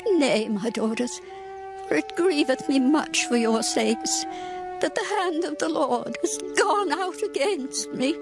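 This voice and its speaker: A woman's voice: high voice, lively intonation, slow pace, exaggerated voice. An actress playing a sorrowful, bitter older widowed mother-in-law in a dramatized scripture reading, speaking in earnest, solemn archaic phrases. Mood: pleading, grieving. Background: music.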